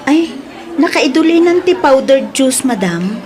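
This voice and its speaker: A woman's voice: high-pitched, animated, a little slow, a little mellow. A Filipino woman with an Ilocano accent playing a meek, apologetic young new employee in a comedy-drama, hesitant and deferential.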